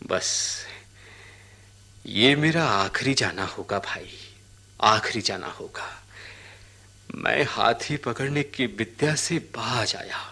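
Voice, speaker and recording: A man's voice: medium pitch, takes it slowly, pauses often, sharp tone. An actor playing a wary, insistent elephant catcher in a radio drama, giving repeated orders to his partner. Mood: bitter, weary. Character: world-weary elephant catcher, urgent, bossy and wary.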